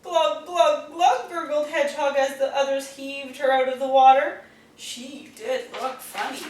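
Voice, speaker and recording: High-pitched woman reading aloud, roomy and distant. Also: steady tone, a little mellow. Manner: deliberate, addressing young children. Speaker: storyteller reading aloud to children. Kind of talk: expressive picture-book read-aloud. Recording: roomy, distant mic, faint noise.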